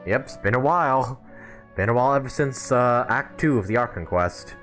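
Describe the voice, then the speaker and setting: A man's voice: mid-range voice, expressive, normal speed, fairly bright tone. A man streaming a video game live, chatting casually and often rephrasing himself as he comments. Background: game music.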